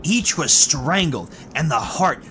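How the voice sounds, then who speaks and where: High-voiced, animated chatty airline passenger played by a man. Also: takes it slowly, a little hiss or hum, heavily acted. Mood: intrigued. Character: chatty affable married male airline passenger. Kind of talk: retro radio drama acting.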